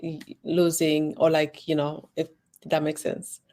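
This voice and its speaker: Woman from uganda grew up in sweden, low voice, pretty flat, rambles with lots of likes. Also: a little slow. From Uganda, raised in Sweden. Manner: rambling, many restarts and "like"s. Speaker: guest, designer and business owner. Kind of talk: casual interview chat.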